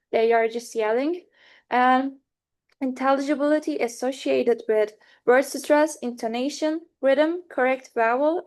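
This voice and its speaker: Woman, young, Turkish accent, native Turkish speaker, class presentation, hesitant, self-correcting, slightly high voice. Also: a little mellow. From Turkey. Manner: hesitant, many fillers and restarts. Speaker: student presenter.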